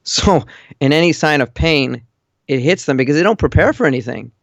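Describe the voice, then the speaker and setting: A man's voice: fairly high pitch, animated, a little fast, vocal fry, no room sound. A man calling in over an online voice call, chatting casually with blunt, matter-of-fact opinions. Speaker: caller living in Japan. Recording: muffled lo-fi internet call.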